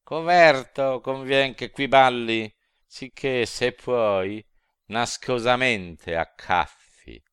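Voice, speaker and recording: A man voicing a pack of mocking devils who jeer and shout at a sinner. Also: mid-range voice, takes it slowly, clear, resonant tone, dry recording, clean studio sound, voice pushed up. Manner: jeering, shouted.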